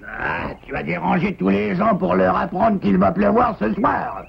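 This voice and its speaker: A scornful, grumpy forest animal from a cartoon, with a heavily gravelled, high voice. The sound is slightly dull and lo-fi. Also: male voice, animated, unhurried, full character voice. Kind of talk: cartoon dub acting. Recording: dull lo-fi soundtrack, faint noise.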